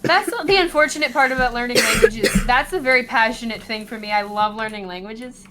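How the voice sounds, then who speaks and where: A woman's voice: fairly high pitch, a little fast, crowd noise. A woman in her twenties chatting casually, explaining things and laughing easily. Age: 20s. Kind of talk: casual chat.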